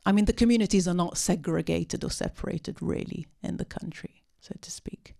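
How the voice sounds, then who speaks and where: A woman speaking unscripted at a post-film discussion, answering audience questions with many pauses, ums and restarts. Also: on the low side, vocal fry, warm tone, in a whisper. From part Syrian.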